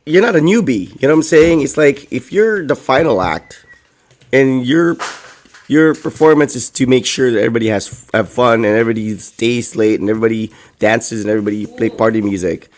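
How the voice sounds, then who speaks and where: Hoarse man, rambling chat. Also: medium pitch. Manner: rambling, heavy on "like" and "you know". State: hoarse, recovering from a lost voice. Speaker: co-host. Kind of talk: casual chat.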